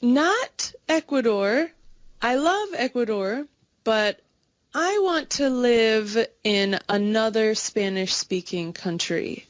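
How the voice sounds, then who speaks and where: Slow, clear-speaking woman in dialogue. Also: a little high, no room sound. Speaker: English speaker in a practice dialogue. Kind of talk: slow scripted conversation practice.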